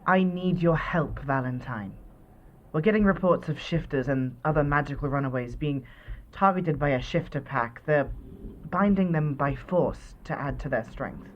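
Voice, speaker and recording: A performer voicing a grave shapeshifter leader who speaks in a formal, measured way, heard as a muffled lo-fi phone call. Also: medium pitch, a little hiss or hum, close to an everyday voice.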